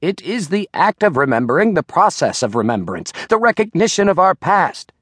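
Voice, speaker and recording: A man voicing a rambling, absent-minded old village congregation leader in an audiobook, halting and easily sidetracked. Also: high-pitched, very animated, fairly bright tone, no echo, clean studio sound, heavily acted. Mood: rambling, solemn. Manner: halting, digressive, self-interrupting. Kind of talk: audiobook character voice.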